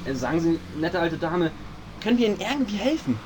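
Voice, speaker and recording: A man's voice: a little high, big pitch swings, quick pace, noisy background. A man playing a self-important, bumbling boy detective leader in a parody radio play, hesitant one moment and officious the next. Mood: awkwardly polite.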